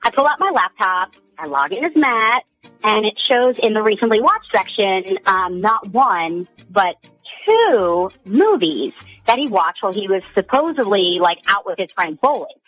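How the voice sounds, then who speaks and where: A woman's voice: medium pitch, big pitch swings, moderate pace, fairly bright tone, dry recording, soft background music, rough recording. A woman calling in to a radio show, telling her story in a casual, conversational way.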